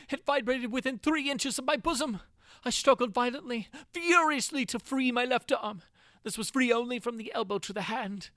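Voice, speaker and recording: A man's voice: extremely high pitch, gravelly, fairly bright tone, no room sound, clean studio sound, voice pushed up, full character voice. A man playing a tormented prisoner recounting his agony in a theatrical, anguished way with archaic diction, in a gothic horror reading. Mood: frantic terror.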